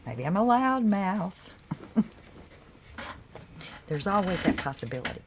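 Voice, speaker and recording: A woman hosting a live stream in a loose, chatty way, with a deep voice that carries. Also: big pitch swings, slow, noisy background. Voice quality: deep, carrying voice. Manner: loose and chatty, with laughs and asides to viewers. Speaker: livestream host. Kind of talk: casual live chat.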